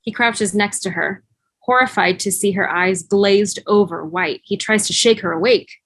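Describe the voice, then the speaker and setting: Brief: woman narrator, mid-pitched, reading scene headings and action, dry video-call sound. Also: moderate pace, fairly bright tone, no room sound. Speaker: stage-direction narrator. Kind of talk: scripted narration.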